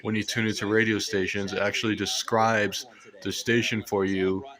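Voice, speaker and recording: Male hobbyist, American, fairly low-pitched, flat monotone delivery, casual and unhurried show-and-tell. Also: crowd noise. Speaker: radio hobbyist presenter.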